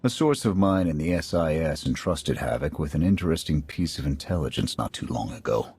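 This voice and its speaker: An actor playing a seasoned male special forces major in a game cutscene, giving clipped military briefings with a husky voice. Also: rumbling low, lively intonation, brisk, darkish tone, exaggerated voice. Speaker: military squad commander. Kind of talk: video game cutscene acting.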